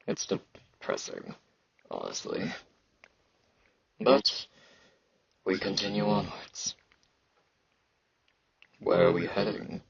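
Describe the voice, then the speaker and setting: A man playing a blunt, awkward fantasy knight and warrior in an intimate ASMR roleplay, halting and dryly dismissive, with a low, gravelly, husky voice and sibilant S sounds. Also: highs cut off, heavily acted. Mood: resigned.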